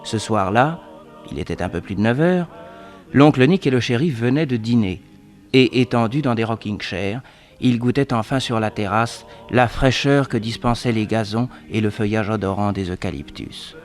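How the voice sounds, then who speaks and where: A man's voice: on the low side, normal speed. A man narrating a 1960s French radio drama in a scripted, literary storytelling style.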